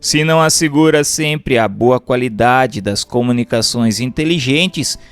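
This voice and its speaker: A man's voice: medium pitch, unhurried, clear, resonant tone, no room sound, soft background music. A man with a Brazilian accent reading a doctrinal text aloud as a narrator, in a formal, didactic way. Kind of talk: scripted audiobook-style narration of a doctrinal text.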